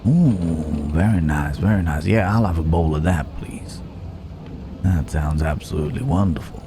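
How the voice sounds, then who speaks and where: Guy doing a roguish old west cowboy for asmr, real low and unhurried, wry, polite, noisy ambience behind. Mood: polite, cheery. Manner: unhurried, wry, musing, with drawn-out pauses. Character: roguish, genteel Wild West cowboy stranger, amiable drifter. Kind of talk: immersive ASMR roleplay, addressing the listener.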